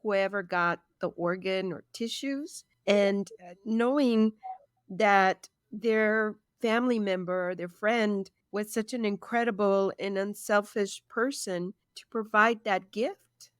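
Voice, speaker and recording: Woman, talk show host, slow pace, empathetic, dry recording. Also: medium pitch, clean tone, dry recording. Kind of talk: interview host.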